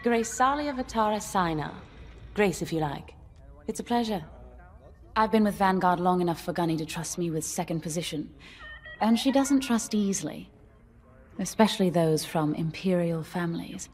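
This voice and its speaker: A woman's voice: lowish voice, animated, normal speed, close to an everyday voice. A woman playing a proud aristocratic young fighter pilot in a video game, poised, formal and wry. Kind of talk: video game character dialogue. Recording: music behind the voice.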